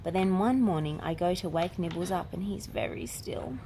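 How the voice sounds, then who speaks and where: A woman's voice: lowish voice, normal speed. A woman narrating a children's picture book aloud as a storyteller. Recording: clear background noise.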